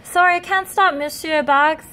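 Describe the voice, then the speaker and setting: Lady doing a high eager little boy from a storybook, urgent, exclaiming his errand list. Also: fairly even intonation, unhurried, bright, forceful tone. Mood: hurried, eager. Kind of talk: children's picture book read-aloud.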